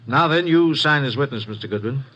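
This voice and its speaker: An actor playing a wealthy, secretive loan company president who speaks hesitantly, with many pauses, in a 1950s radio drama. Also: male voice, medium pitch, animated, a bit bright, a little hiss or hum, lightly acted. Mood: guarded. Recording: dull lo-fi old radio recording.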